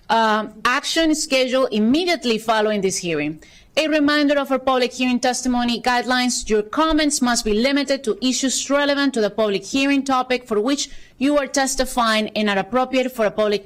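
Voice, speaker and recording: A woman chairing a county council session, reading procedural items briskly with light asides, at a desk microphone in a council chamber. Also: on the high side. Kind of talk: formal procedural meeting chairing.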